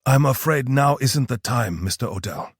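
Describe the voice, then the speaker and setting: A man's voice: medium pitch, expressive, normal speed, husky, dry recording, studio quality, voice pushed up. Man voicing a firm, formal male leader.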